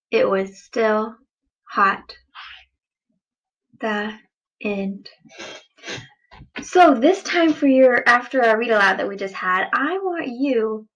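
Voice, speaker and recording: A woman reading a picture book aloud as a teacher to children, deliberate and expressive, stressing words for young listeners. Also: a little high, low-quality recording.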